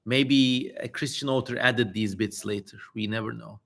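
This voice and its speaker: A man hosting a live reading stream, commenting casually and wryly with hesitant pauses. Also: lowish voice, steady tone, gravelly, fairly bright tone. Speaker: host of a dramatic reading livestream. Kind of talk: live stream commentary between passages of an old text.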